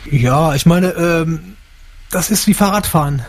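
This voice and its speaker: A man with a high voice answers a radio host's questions. He keeps his replies short and relaxed and laughs easily. He grew up bilingual. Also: a little slow. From Germany. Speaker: guest. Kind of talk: radio interview.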